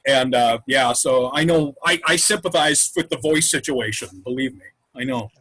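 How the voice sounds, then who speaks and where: A man hosting an improv troupe's home episode, chatting over a video call and telling stories in a relaxed, talkative way. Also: mid-range voice, moderate pace, breathy edge, poor audio. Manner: chatty storyteller, wraps up the show. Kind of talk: casual chat. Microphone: video call mic.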